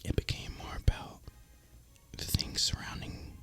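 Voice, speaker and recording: Male ASMR gaming creator: breathy whisper throughout, crisp sibilant edge, relaxed and rambling delivery. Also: a little slow. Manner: relaxed, rambling, casual and reflective. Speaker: video creator and gamer.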